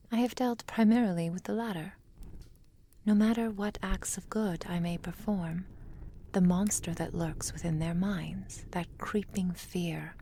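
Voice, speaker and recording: Woman voicing an immortal witch, ASMR roleplay, mellow breathy whisper, proud, lovelorn, deliberate wording, faint background noise. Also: lowish voice. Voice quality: soft, breathy, frequently whispered. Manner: slow, formal, deliberate storyteller phrasing. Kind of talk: intimate ASMR roleplay monologue.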